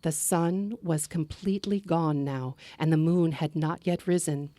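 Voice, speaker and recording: Woman storyteller, lowish voice, slow and expressive dramatic narration, dry close sound. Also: no room sound. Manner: expressive, measured delivery with character voices.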